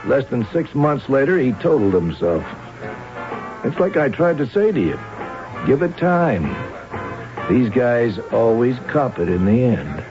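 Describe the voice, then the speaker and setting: A man's voice: mid-range voice, sing-song, unhurried. An actor playing a worldly, wry male police captain in a 1970s radio drama. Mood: wry, satisfied. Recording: muffled vintage radio with music behind.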